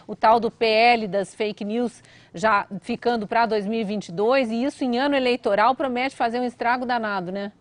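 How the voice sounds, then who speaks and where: Higher-voiced Brazilian woman hosting, close and dry. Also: no room sound. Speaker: host. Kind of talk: live political show hosting.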